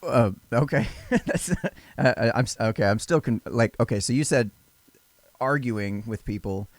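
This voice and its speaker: A man with a deep voice hosting a casual podcast interview, often stammering, repeating words and restarting his sentences. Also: moderate pace, no room sound. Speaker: podcast host.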